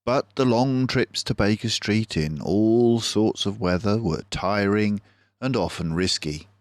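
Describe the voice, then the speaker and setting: An older man narrates a children's detective storybook aloud. His voice is fairly low and he takes his time, very slow and deliberate with each phrase. The sound is close and dry. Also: dry recording.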